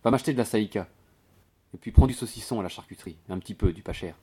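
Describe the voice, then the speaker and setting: A man voicing a gruff, broke young man who talks grudgingly and colloquially in a novel reading. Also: lowish voice, fast talker, mellow. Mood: tired.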